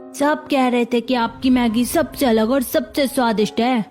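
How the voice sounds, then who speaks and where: A woman plays an eager young schoolchild in a cartoon. The voice is high and cheerful, with faint music behind it. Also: a little slow, darkish tone, close to an everyday voice. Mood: excited. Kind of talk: cartoon child acting.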